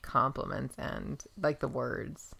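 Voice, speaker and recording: A woman co-hosting a casual podcast, easygoing and quick to laugh. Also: extremely low pitch, creaky, a bit bright, slightly rough. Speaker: podcast co-host. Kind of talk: casual chat. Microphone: podcast studio mic.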